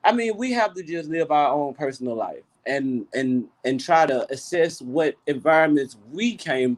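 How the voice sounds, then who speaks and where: Memphis guy hosting a chill group chat, cussing, rambling, kinda high voice. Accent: Southern US, Memphis. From South Memphis, USA. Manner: loose, profane, rambling, with many restarts. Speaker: host of an open discussion.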